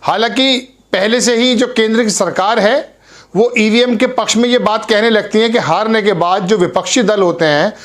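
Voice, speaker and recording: Male news host, high voice, earnest, self-correcting delivery. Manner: earnest, repeats and corrects himself. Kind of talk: news commentary to camera.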